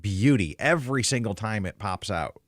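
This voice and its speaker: A man's voice: fairly low pitch, lively intonation, normal speed, no room sound, studio quality. A man hosting a casual live stream, reading out viewer comments and chatting emphatically about food. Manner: chatty, emphatic, repeats words for effect.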